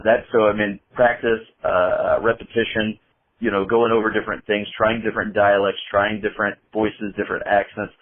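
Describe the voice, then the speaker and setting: A man with a light Indiana accent talks in a fairly low, flat voice, as a guest on a podcast. He chats loosely, circling back with restarts and fillers. The sound is thin and narrow, like a phone call. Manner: chatty, many restarts and fillers. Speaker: wrestling commentator guest. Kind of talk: casual podcast chat. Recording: phone-line podcast audio.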